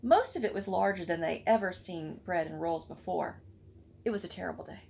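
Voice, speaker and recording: Creaky-voiced woman reading a storybook aloud. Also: on the low side, brisk, a little hiss or hum. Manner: expressive, with chatty asides to listeners. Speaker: read-aloud book club reader. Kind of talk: children's storybook read-aloud.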